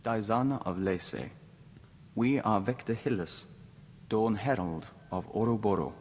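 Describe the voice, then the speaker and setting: An actor voicing a calm, formal young man joined to an insect hive mind in a video game, speaking in a measured way as "we". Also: lowish voice, big-room sound, a little hiss or hum. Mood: reverent. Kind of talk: scripted video game voice acting.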